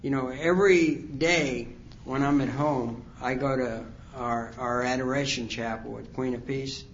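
A man in his sixties telling his recovery story at a conference, rambling and halting with many fillers. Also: mid-range voice, steady tone, dull audio, slight background noise. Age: 60s. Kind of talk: personal testimony talk. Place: conference hall.

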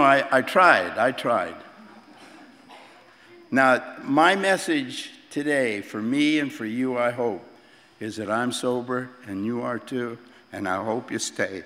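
An eighty-year-old man speaks slowly to a group in a large meeting room, halting and repeating words, chuckling as he goes. His voice is of middle pitch, and it carries a long hall reverb. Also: fairly bright tone. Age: 80s. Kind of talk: personal testimony to a group.

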